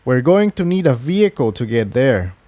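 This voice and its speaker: A clever stallion inventor, acted out by a man, talks with easy confidence. His delivery is matter-of-fact, in a mid-pitched voice for a fantasy storybook. The recording is dry. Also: very animated, moderate pace, warm tone, dry recording, natural voice. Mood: confident.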